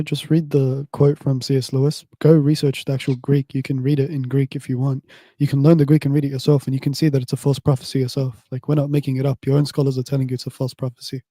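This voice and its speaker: Fast-talking man with a dark, mellow voice. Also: medium pitch, dry recording. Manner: assertive, casual address with "bro" and "brother". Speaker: panel host. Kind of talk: informal religious debate.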